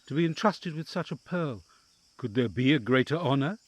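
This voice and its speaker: An actor in an audio drama playing a devoted, wary counsellor to a noble family, with formal, theatrical phrasing and inner musings. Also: male voice, mid-range voice, animated, moderate pace, no room sound, close to an everyday voice. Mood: yearning, intimate.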